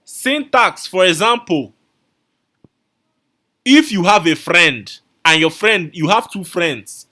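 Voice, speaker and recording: A man's voice: high-pitched, animated, slow, pauses often, pushed and bright. A man teaching a live online coding class, repeating his instructions and calling out viewers' names from the chat. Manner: repetitive, coaxing, calls out viewer names.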